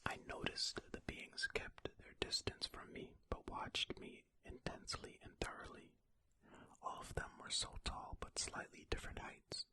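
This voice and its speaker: An ASMR host speaks entirely in a crisp, airy whisper, very close to a stereo microphone. The delivery is unhurried and musing, moving between reading a story aloud and casual commentary on it. The breathy sound sits bright and high, with no full voicing. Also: animated.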